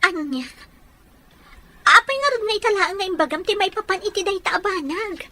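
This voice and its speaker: Woman acting an earnest, bright-voiced young girlfriend. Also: very high-pitched, lively intonation, normal speed, full character voice. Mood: suspicious, insistent. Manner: insistent, probing. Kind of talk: audio drama acting.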